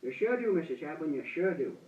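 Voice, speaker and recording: A man's voice: a little high, very animated, fast talker, phone-quality sound. A young man playing a gushing, folksy host in an amateur 1920s radio broadcast. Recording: roomy, distant-miked amateur recording, thin sound.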